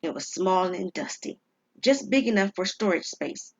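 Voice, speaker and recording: A woman narrating a scripted story as a storyteller, measured and dramatic in her delivery. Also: on the low side, expressive, husky. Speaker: storyteller, writer and performer. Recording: dull, lo-fi audio.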